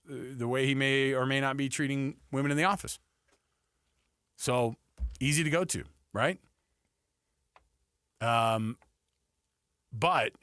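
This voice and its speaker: Male sports talk host, medium pitch, conversational, repeats for emphasis, close dry studio mic. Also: a bit bright, no echo. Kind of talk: casual sports talk commentary. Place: radio studio. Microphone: close studio microphone.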